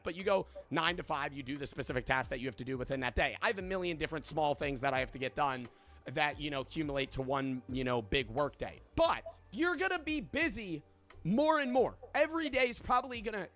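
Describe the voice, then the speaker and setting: A man in his twenties talks through life advice with strong emphasis and plenty of swearing. His voice sits high and swings widely in pitch. The sound is dull and lo-fi, as if played from a video. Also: fairly bright tone. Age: 20s. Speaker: online video creator. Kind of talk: motivational monologue.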